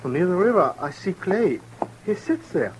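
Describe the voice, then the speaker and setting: Anxious German farmer, high-pitched. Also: male voice, big pitch swings. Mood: bewildered. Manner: halting, pleading, broken English. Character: anxious immigrant farmer, protesting his innocence. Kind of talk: 1950s radio drama acting. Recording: noisy old radio broadcast recording.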